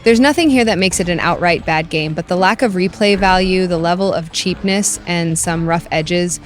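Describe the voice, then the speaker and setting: A woman's voice: on the low side, moderate pace. A woman reading scripted review narration as a video game reviewer, with music behind her.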